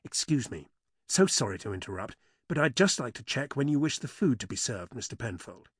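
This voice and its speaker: A man's voice: mid-range voice, sing-song, a little fast, slightly rough, no echo, clean studio sound. A male narrator voicing a polite event organizer who apologizes for interrupting. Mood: apologetic. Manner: polite, deferential.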